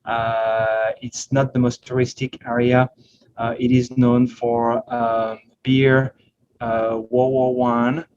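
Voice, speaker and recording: A man's voice: mid-range voice, flat delivery, slow pace. A middle-aged man with a French accent giving an informal guest talk to a class, with a wry, self-deprecating and hesitant delivery. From northern France. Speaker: guest speaker, startup CEO. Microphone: video call microphone. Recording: noisy, muffled video call audio.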